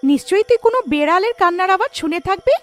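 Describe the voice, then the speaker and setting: A bossy little elder sister, acted by a woman, talks in a very high, animated voice. She is scolding and sceptical, in children's cartoon style. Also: very fast, faint music behind. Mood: teasing, dismissive. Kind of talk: cartoon story acting.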